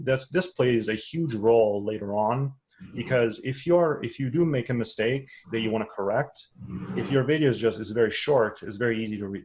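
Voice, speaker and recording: Man, non-native English speaker, low voice, webinar instructor, conversational, restarts and repeats. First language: not English. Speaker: course instructor. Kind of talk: webinar lecture.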